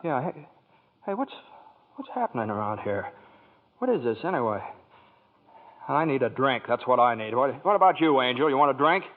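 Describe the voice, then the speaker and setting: A despairing husband and father played by a man, halting and stammering over his words. His voice sits at an ordinary pitch. It comes through narrow and tinny, like an old radio broadcast. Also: very animated, close to an everyday voice. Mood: bewildered. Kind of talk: 1940s radio acting.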